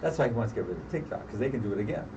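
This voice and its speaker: A man's voice: fairly low pitch, lively intonation, rapid-fire. Easygoing man, laughs and interjects; distant, muffled mic. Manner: laughing, chiming in. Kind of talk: casual political chat. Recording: distant, dull mic with faint noise.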